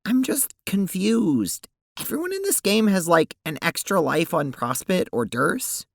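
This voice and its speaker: A woman playing an anguished, blunt teenage sprite girl in an audio drama, speaking in a hesitant, emotional, halting way. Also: lowish voice, very animated, creaky, no room sound, clean studio sound, exaggerated voice. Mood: confused, hesitant.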